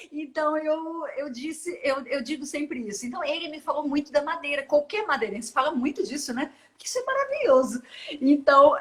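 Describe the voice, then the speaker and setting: A woman's voice: fairly high pitch, fairly bright tone. A woman in her forties with a Minas Gerais Brazilian accent, a swimmer chatting as an interview guest, rambling with restarts and laughing often. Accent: Minas Gerais Brazilian Portuguese. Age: 40s. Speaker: guest, open-water ultramarathon swimmer. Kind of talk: casual interview chat.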